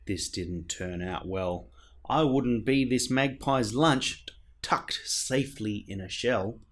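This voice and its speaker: A man voicing a little male snail from a storybook, expressive and anxious, in a plaintive, exclamatory way. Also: lowish voice, slow pace. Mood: pleading, distressed. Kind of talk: storybook character voice.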